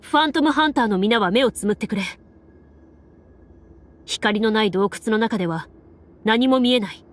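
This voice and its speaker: An actress playing a composed woman duelist who narrates a game adventure in a measured, formal, dramatic way. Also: fairly high pitch, lightly acted. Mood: solemn, measured. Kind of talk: Japanese anime game narration. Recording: game music behind.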